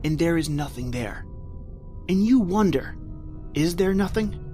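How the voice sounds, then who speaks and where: An actor playing a terrified, desperate man recounting his flight from a monster, in intense old-time radio horror style with drawn-out, repeating phrases. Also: a little high, lively intonation, warm tone. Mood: brooding dread. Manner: intense, breathless repetition, drawn-out dramatic phrasing. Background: music.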